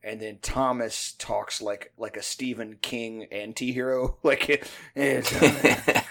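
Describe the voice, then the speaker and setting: A man's voice: medium pitch, steady tone, slow, gravelly, fairly bright tone. Rambling profane man in his fifties. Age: 50s. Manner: rambling, profane, self-deprecating. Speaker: podcast host. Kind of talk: casual chat.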